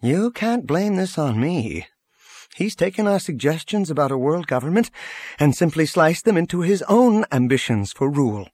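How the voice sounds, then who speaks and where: A man's voice: fairly high pitch, very animated, normal speed, darkish tone, no echo, pitched above the performer's own voice. A man playing an evasive, self-assured diplomat in an audiobook, speaking with defensive confidence. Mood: unabashed, defensive.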